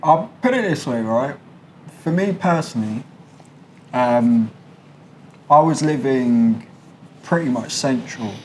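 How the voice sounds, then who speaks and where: A man with a London accent chats candidly as an interviewee. His talk is colloquial and slangy, and he likes to explain how things work. His pitch sits in the middle, and a little noise and room ambience sit behind him. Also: lively intonation, takes it slowly, pauses often, darkish tone. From London, England. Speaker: interviewee, British expat. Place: a condo apartment.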